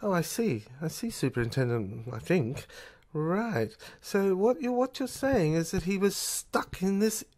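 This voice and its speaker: A man's voice: high voice, animated, unhurried, a little mellow. Man acting a puzzled, hesitant police sergeant. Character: puzzled, slow-witted male police sergeant, deferential. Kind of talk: amateur audio drama acting.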